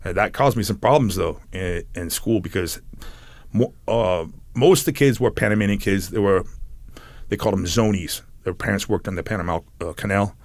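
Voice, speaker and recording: Gravelly low-voiced man from San Diego. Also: dry recording. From San Diego, United States. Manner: rambling, laughs between phrases. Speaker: guest. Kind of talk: casual storytelling.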